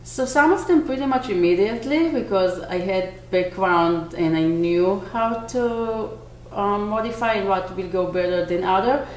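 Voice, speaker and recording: A woman in her forties from Israel with an Israeli accent, a bakery owner answering interview questions earnestly, pausing to search for words. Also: mid-range voice, darkish tone. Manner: earnest, with small restarts and searching pauses. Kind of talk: on-camera interview. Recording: echoey room, faint noise.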